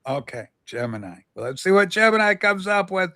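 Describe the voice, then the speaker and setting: A woman co-hosting a casual livestream chat, teasing and excitable, laughing often. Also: mid-range voice, a little fast, no room sound. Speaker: livestream co-host.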